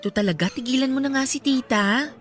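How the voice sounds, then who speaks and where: A woman playing a sweet, bashful young girlfriend in a radio drama, polite and expressive. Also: sits high, quick pace, faint music behind, close to an everyday voice. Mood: polite, amused.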